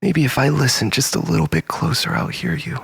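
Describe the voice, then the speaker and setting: A man's voice: on the high side, fairly even intonation, fairly quick, creaky, slightly rough, heavily acted. A man playing a mournful, haunted brother in grief, delivering a brooding, poetic scripted monologue. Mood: grieving, wistful.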